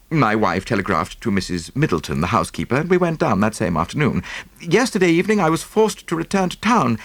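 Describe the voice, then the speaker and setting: Casting: male reader as well-bred English gentleman, anxious and courteous, swooping higher in pitch, audiobook drama. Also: no room sound. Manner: courteous, hesitant, explanatory. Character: well-bred English gentleman, anxious, self-deprecating, recounting family troubles. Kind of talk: audiobook character acting.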